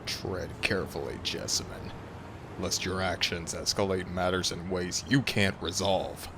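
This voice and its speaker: A man's voice: low-pitched, unhurried, background noise. A man playing a proud, coldly arrogant male alpha werewolf in an audio drama, with a formal, haughty, threatening manner. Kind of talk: dramatic audio roleplay acting.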